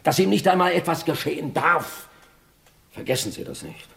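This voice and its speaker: An actor in a vintage radio play, playing a pompous, easily flustered town official who blusters and hesitates. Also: male voice, fairly high pitch, moderate pace, vocal fry, a bit bright.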